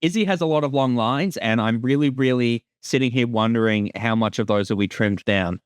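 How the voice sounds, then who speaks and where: A man's voice: medium pitch, fairly quick, clean tone, no room sound, studio quality. A man giving a casual, jokey creator's commentary with informal language and some swearing.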